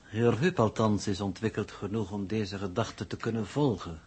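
A husky-voiced, coolly superior intellectual man in a 1960s radio serial. He talks in stilted, pompous and exact sentences, condescending to everyone as he explains. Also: fairly low pitch, darkish tone. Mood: lecturing. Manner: stilted, pompous, precise, deliberately formal. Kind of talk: 1960s radio play acting.

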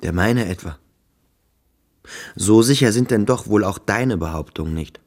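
An actor playing a brooding, searching younger schoolboy, reflective and guarded, in a German literary radio drama. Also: male voice, fairly low pitch, unhurried, a little mellow. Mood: defensive, firm. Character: Törleß, brooding, searching younger schoolboy, troubled but defiant.

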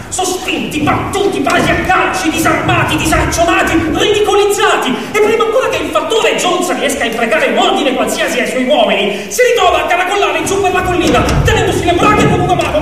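A man's voice: very high-pitched, a bit bright, slight background noise. Theatrical male storyteller in an echoing hall. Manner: emphatic, dramatic build-ups and pauses. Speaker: actor and storyteller. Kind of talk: theatrical dramatic monologue narration of a fable. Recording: echoey, distant reverberant hall with music.

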